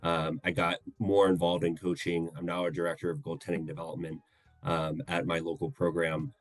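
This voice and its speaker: Deep-voiced earnest man in his thirties. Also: flat delivery, no room sound. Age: 30s. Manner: earnest, measured, hesitant. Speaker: guest co-host. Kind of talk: casual podcast introduction.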